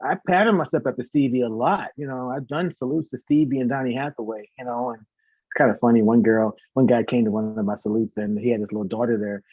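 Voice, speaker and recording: An American man, an interview guest and singer, telling casual anecdotes in an animated way and acting out the dialogue. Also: medium pitch, fast, breathy edge. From St. Louis, United States. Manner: animated, acts out quoted dialogue. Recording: echoey, dull lo-fi audio.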